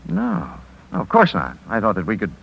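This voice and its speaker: A courteous, earnest romantic rival in an old radio drama. He talks quickly with very varied pitch, politely hesitant, on a slightly muffled broadcast. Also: wildly swooping pitch, gravelly, breathy edge, heavily acted. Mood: polite, uneasy. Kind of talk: 1950s radio acting. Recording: dull 1950s radio broadcast.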